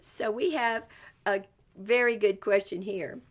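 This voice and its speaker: A woman hosting a story time for young children, encouraging and expressive as she questions a guest and speaks straight to the kids. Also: medium pitch, a little slow, slight background noise.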